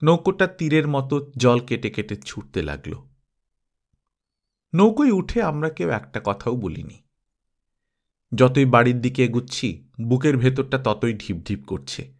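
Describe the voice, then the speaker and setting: A man narrates a story in the manner of an audiobook. His voice sits at an average pitch. He reads expressively, as a storyteller does. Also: big pitch swings, unhurried, pauses often.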